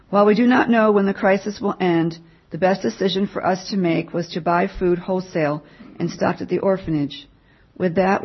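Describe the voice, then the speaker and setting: A woman giving a mission update at church, reading a written report aloud to the congregation in a plain, measured way. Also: fairly low pitch, breathy edge, muffled recording.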